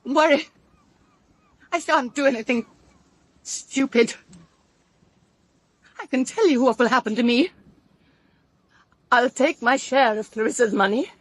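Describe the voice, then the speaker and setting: A woman's voice: high-pitched, fairly bright tone, breathy edge, no room sound, full character voice. An actress with a British accent playing a bitter, lonely, jilted woman in an audio drama. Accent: British English. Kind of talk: full-cast audio drama acting.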